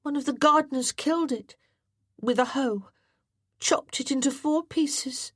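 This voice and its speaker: A woman's voice: sits high, unhurried, no room sound, studio quality, voice pushed up. A woman voicing an earnest, well-bred young boy who speaks in a precise, formal way. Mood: eager.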